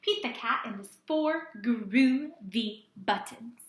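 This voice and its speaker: Lady teacher reading to little kids, really high voice, slow n sing-song, echoey room. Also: animated. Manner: sing-song, deliberate, asks questions and answers them. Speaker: early-grades teacher. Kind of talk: read-aloud lesson for young children.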